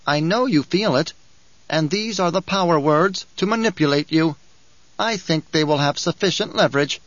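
A man's voice: fairly high pitch, normal speed, fairly bright tone, no room sound, muffled recording, pitched above the performer's own voice, close to an everyday voice. A man reading an audiobook, voicing a whining, spiteful male dwarf who taunts and giggles. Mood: mocking.

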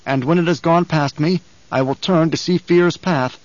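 A man voicing a young man who recites in a low, controlled manner to master his fear. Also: moderate pace, dry recording, lightly acted. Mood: steady. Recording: dull, dry studio recording.

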